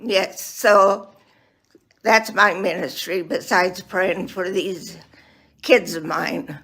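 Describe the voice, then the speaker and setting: Slow-speaking ninety-year-old woman. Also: on the low side, steady tone, creaky, husky. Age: 90s. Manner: slow, halting, warm blessings. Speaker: guest. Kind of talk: casual chat giving blessings.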